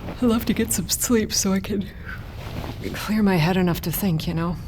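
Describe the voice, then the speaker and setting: An actress playing a desperate, determined shipwreck survivor in an audio drama. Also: female voice, mid-range voice, normal speed, darkish tone, slight background noise, close to an everyday voice. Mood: weary, pleading. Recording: music under the voice.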